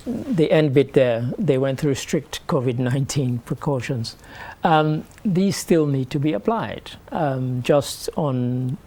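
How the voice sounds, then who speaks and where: Mellow-voiced male discussion host. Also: mid-range voice. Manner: thoughtful, hesitant with many fillers. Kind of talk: studio discussion.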